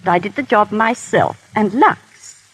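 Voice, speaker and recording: A woman's voice: medium pitch, animated, unhurried, husky, poor audio. A woman guest telling genteel, formal anecdotes in a scripted 1930s radio interview. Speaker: guest technical advisor and former royal dresser. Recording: vintage 1930s radio broadcast.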